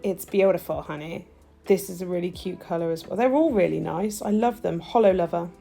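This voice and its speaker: A woman with a stuffy, nasal voice, chatting warmly through a nail tutorial as she works. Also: lowish voice, a little fast, vocal fry, soft background music. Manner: chatty, gushing, talks while working. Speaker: nail technician demonstrating gel polish. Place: home nail studio.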